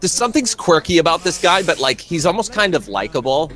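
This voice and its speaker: A man's voice: fairly high pitch, big pitch swings, brisk, fairly bright tone, faint music behind. A man improvising narration as game master of a tabletop roleplay, in a casual, descriptive way.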